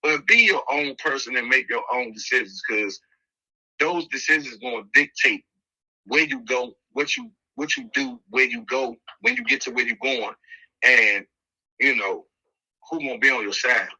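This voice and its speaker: A man's voice: medium pitch, expressive, moderate pace, bright, forceful tone, slightly rough, poor audio. A middle-aged American man telling a personal story on a podcast in casual, slangy street talk, emphatic and acting out the dialogue as he goes.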